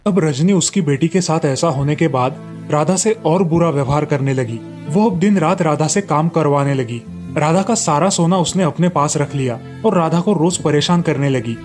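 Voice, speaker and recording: Storybook narrator with a mid-pitched voice. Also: moderate pace, darkish tone, soft background music. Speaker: narrator of moral stories.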